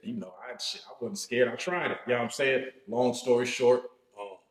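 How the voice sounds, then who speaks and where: A man in his thirties, a podcast guest telling his own story in a slow, reflective, casual way, often checking in with "you know what I'm saying". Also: medium pitch, steady tone. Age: 30s. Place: podcast studio room. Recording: roomy studio echo.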